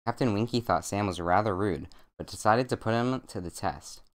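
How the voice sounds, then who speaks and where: Young guy on stream reading a bedtime story, deep smooth voice, kinda monotone and deadpan. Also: normal speed. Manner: self-described monotone, deadpan and joking. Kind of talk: casual chat and reading a bedtime story aloud.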